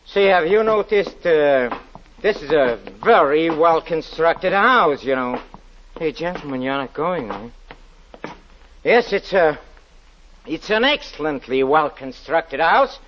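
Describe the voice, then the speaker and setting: An actor playing a guilt-haunted, gloating wife-killer in 1940s radio horror style, halting and stammering. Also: male voice, on the high side, lively intonation, a little hiss or hum. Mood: frenzied bravado. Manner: halting, stammering, with nervous chuckles and repeated words. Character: guilt-haunted man, a wife's murderer, unhinged and gloating. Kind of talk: 1940s radio horror acting, first-person narration. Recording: muffled old 1940s radio recording.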